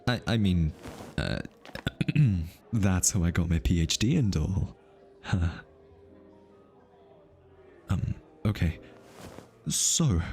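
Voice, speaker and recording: A man's voice: low voice, a little mellow. A male voice actor playing an easily flustered, teasing hitman who is smitten, in an ASMR roleplay. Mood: coy. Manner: teasing, stammers and backtracks when flustered.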